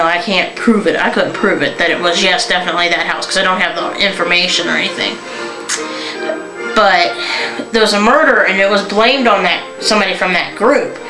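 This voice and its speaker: A young woman from Northern Kentucky talks through a casual vlog, pausing often and restarting her sentences. Her voice is bright. The room around her echoes, and faint music plays underneath. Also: medium pitch. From Northern Kentucky, USA. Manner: halting, full of fillers and restarts. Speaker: vlogger. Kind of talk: casual rambling storytelling.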